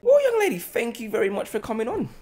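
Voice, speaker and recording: A man's voice: very high voice, huge pitch swings, fast, a crowd in the background. A young man hosting a podcast in casual chat, often repeating short phrases. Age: young adult. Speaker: podcast host. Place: large bright living room, indoors. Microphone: podcast mic on boom arm.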